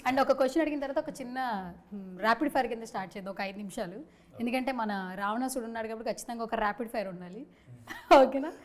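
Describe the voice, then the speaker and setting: Quick, high-voiced Telugu Indian woman host. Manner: teasing, insistent. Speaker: interviewer hosting a film team chat. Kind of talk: casual playful celebrity chat with rapid-fire questions.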